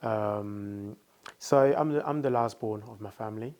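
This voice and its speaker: A man's voice: on the low side, unhurried, a little mellow. A young man from France, a company founder, talking as a guest in a casual interview, thoughtful and hesitant, with many fillers.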